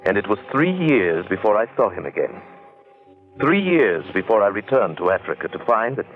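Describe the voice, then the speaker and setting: Casting: courteous, concerned businessman-narrator for an old radio chiller, low voice, very expressive pitch. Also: moderate pace, phone-quality sound, faint music behind. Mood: grave, wondering. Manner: courteous, earnest, wondering. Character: concerned English businessman narrating an eerie African adventure. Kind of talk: 1940s-50s radio drama acting and narration. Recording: band-limited old-time radio recording.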